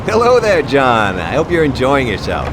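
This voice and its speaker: A man's voice: medium pitch, huge pitch swings, moderate pace, background noise. A man playing a genial party host greeting guests in a video game. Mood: welcoming.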